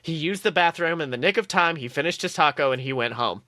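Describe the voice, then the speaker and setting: A man hosting a show, reading comic fan fiction aloud in an expressive, playful storytelling way. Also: a little high, fast, dry recording. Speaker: podcast host.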